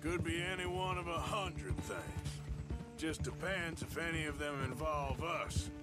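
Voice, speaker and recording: An actor with an American accent playing a gruff, sardonic Old West outlaw in a video game, talking in a dry, menacing drawl. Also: male voice, a little high, steady tone, unhurried, loud music behind the voice. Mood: wry, sardonic. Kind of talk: video game cutscene acting.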